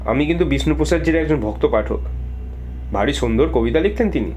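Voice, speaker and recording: A man's voice: fairly high pitch, lively intonation, fairly quick, a little mellow, a little hiss or hum, lightly acted. A man playing an eager, sociable poetry lover in an audio drama, effusive and insistent in manner. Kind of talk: audio ghost-story drama acting.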